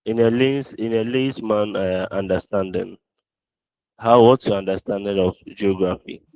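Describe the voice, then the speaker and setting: A man's voice: fairly low pitch, steady tone, normal speed, mellow, dry recording. A man giving a university lecture online, putting questions to his class. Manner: questioning, draws answers from the class. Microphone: online call audio. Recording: dull lo-fi online call audio.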